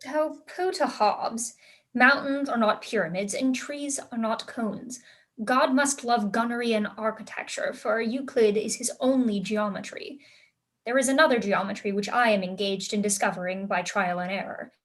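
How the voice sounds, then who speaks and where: A woman's voice: a little high, normal speed, fairly bright tone, close to an everyday voice. A young woman acting a precocious sixteen-year-old aristocratic girl in a staged reading, emphatic and self-assured. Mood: confident, scornful. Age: young adult. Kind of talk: staged reading of a period comedy.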